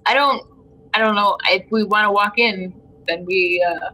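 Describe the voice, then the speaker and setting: A woman's voice: medium pitch, steady tone, normal speed, pushed and bright, rough recording. A woman chatting casually as a guest player in an improvised story game, talking through her moves. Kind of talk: casual chat while playing a game.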